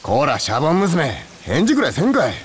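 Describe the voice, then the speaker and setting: A man playing a rough, blunt young man who speaks in gruff, folksy dialect in an anime-style Japanese game. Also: fairly high pitch, wildly swooping pitch, brisk, a little hiss or hum. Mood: exasperated.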